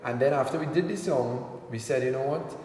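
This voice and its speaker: A man from Trinidad and Tobago with a Trinidadian accent, chatting casually as an interview guest, relaxed and quick to laugh. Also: medium pitch. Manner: relaxed, laughs easily, slows down to speak carefully. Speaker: entertainer guest. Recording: echoey room with long reverb, faint noise.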